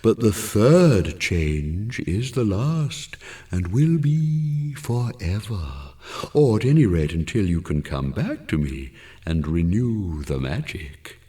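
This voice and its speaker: A man voicing a wise old magic apple tree that speaks slowly and deliberately. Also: lowish voice, big pitch swings, mellow, distant mic. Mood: solemn. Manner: slow, deliberate, correcting.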